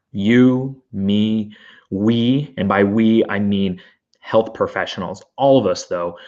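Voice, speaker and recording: A man hosting his own podcast, energetic and rambling with asides, his voice still hoarse as it recovers. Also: lowish voice, highs cut off. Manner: enthusiastic, rambling with fillers and asides. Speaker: podcast host. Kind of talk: energetic solo podcast monologue.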